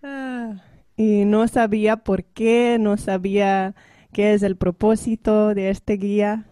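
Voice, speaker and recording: A woman's voice: medium pitch, level tone, slow pace, warm tone, no echo. A woman from the Netherlands, a guest speaking careful learner's Spanish in short phrases and laughing often between them. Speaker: guest singer. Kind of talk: halting learner's Spanish with translation pauses.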